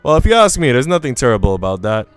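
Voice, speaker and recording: A man's voice: mid-range voice, big pitch swings, quick pace, clean tone, no room sound, faint music behind, close to an everyday voice. A man voicing a haughty young woman from a visual novel, self-assured and condescending. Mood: probing, candid. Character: haughty, dismissive young woman, quick to judge.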